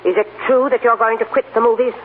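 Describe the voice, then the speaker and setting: A woman's voice: on the high side, steady tone, fast talker, close to an everyday voice. A stern old grandmother, played by a woman in a 1940s radio play. She is blunt and commanding, with a devoted core. The recording is tinny and lightly noisy. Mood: stern, challenging. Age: older. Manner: brusque, commanding, plain-spoken. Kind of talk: 1940s radio drama acting. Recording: scratchy, narrow-band 1940s radio broadcast.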